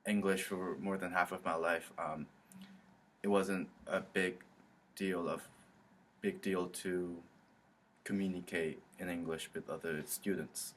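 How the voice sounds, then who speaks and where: A young man from South Korea with a very low voice talks about his studies, hesitating often and restarting his sentences. There is a faint hiss behind him. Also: fairly bright tone. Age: young adult. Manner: hesitant, many ums and restarts. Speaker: international student. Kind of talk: interview testimony.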